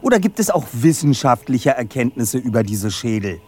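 A man's voice: mid-range voice, big pitch swings, moderate pace, close to an everyday voice. An actor playing a brainy, self-assured young detective who leads his team in an audio drama, with precise, formal, commanding speech. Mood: confident, skeptical. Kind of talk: German audio drama acting.

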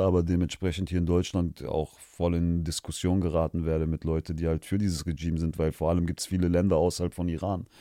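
Deep-voiced man speaking frankly. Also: dark tone, dry recording, clean studio sound. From Germany. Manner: frank, heated, swears freely. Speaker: podcast guest. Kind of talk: candid conversational interview.

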